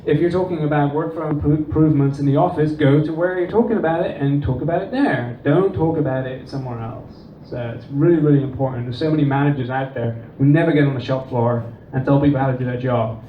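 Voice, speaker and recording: Man, dutch accent, lively conference presenter, higher voice, distant echoey dull recording. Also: fast, few pauses. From Netherlands and England. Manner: animated, acts out quoted dialogue. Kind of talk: conference talk. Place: conference room. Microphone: distant room recording. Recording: distant, roomy, dull with faint noise.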